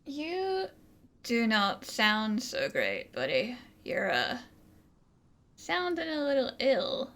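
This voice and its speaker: A woman hosting a gaming video, giving casual, halting commentary as she reacts to the game. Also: fairly high pitch, huge pitch swings, fairly bright tone.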